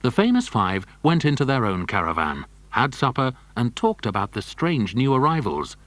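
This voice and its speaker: Expressive male storyteller, dry close sound. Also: mid-range voice, moderate pace, no echo. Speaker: narrator. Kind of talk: scripted children's story narration.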